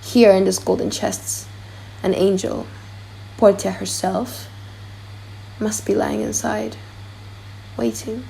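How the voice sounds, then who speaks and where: A woman's voice: medium pitch, fairly even intonation, slow pace, vocal fry, long pauses, warm tone, a little hiss or hum, poor audio. A woman voicing a proud, lovestruck foreign prince in a dramatic audiobook play, grandly thinking aloud. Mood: proud, deliberating. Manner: grandiose, self-deliberating.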